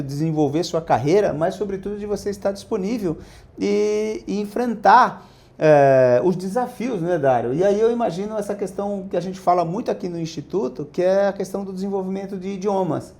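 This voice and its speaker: A man's voice: on the high side, a little mellow. A man hosting an interview podcast, upbeat and speaking directly to his listeners. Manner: upbeat, addresses the audience directly. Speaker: podcast host.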